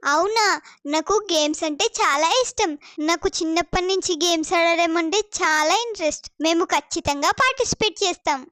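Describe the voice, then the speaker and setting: A woman voicing a bold, outspoken and boastful young girl in an animated children's story. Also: very high voice, a little fast, no echo, studio quality. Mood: excited, boastful. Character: bold, feisty young girl, sporty conjoined twin.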